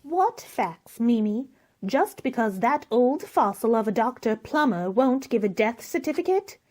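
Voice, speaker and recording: Woman acting sharp-tongued socialite, radio play, somewhat high pitch, sneering, blunt, suspicious. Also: sing-song, measured pace. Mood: scornful. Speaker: actor in an amateur radio play. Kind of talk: radio mystery drama acting.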